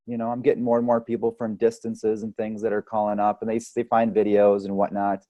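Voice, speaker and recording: A man's voice: lowish voice, completely flat delivery, fairly quick, mellow, no echo. A man speaking conversationally as an interview guest, explaining things through analogies and often checking in with "right?". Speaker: chiropractic or holistic health practitioner, podcast guest.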